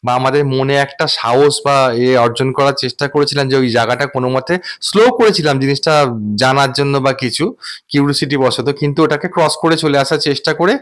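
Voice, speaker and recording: A man's voice: mid-range voice, steady tone, quick pace, fairly bright tone. Earnest man, explaining to viewers. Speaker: vlogger and host. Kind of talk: recounting a personal ghost experience to viewers.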